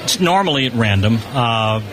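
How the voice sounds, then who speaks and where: Lower-voiced American man over crowd noise. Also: expressive, normal speed, rough recording. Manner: enthusiastic. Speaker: amateur satellite organization spokesman being interviewed. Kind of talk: informal explanatory interview. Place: convention hall exhibition booth. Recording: busy crowd noise behind, convention floor. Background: crowd chatter.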